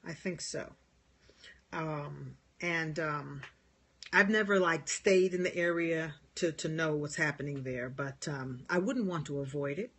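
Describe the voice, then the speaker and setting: A husky, slightly breathy voice with a New York area accent, talking into a phone on a live stream. The speaker is a spiritual healer who wanders gently from thought to thought, answering viewers' comments. Laughter comes easily between points. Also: medium pitch, a little slow. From New York and New Jersey. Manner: meandering, gentle, laughs often. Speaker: spiritual healer and Reiki teacher.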